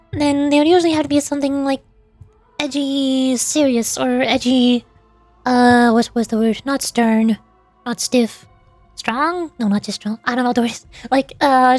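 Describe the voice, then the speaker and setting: A young woman with a high voice comments casually on a game as a VTuber streamer. She is playful and teasing, rambling as she goes. The sound is close and dry, with music underneath. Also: darkish tone, no echo.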